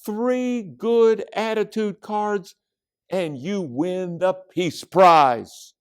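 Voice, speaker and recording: Man putting on an excitable high-pitched young schoolgirl. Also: extremely slow, clean tone. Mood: explaining, bossy. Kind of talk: children's storybook read-aloud.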